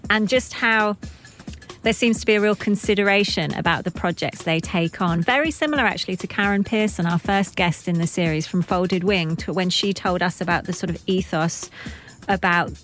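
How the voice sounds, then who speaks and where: A woman with a slightly low voice co-hosts a podcast, with quiet music underneath. She talks in an earnest, appreciative, conversational way. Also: normal speed. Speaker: podcast co-host.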